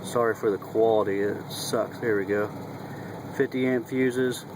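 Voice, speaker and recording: A man's voice: on the low side, fairly even intonation, measured pace. A man giving a casual, folksy how-to walkthrough in and around a vehicle, talking in colloquial speech to a handheld camera. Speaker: truck driver and hobbyist demonstrator. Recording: noisy vehicle and engine hum.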